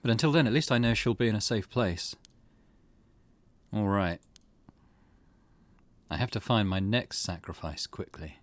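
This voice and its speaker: A man's voice: fairly low pitch, measured pace, long pauses, no echo. A man hosting a let's play, chatting casually, thinking aloud and reading game text out as he goes. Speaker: gaming channel host. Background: game music.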